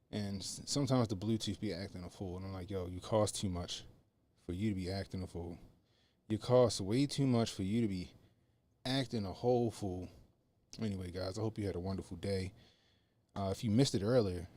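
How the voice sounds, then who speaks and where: A man hosting a casual political commentary livestream, talking loosely with slang and repeating phrases for emphasis, in what he calls a monotone voice. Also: lowish voice. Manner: self-described monotone voice. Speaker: livestream host. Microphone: podcast mixer setup. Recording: faint background music under the voice.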